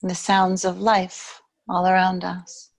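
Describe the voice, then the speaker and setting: A woman yoga teacher guiding a yoga nidra meditation in a soothing, unhurried way, leaving long pauses between phrases. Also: on the low side, monotone, gravelly.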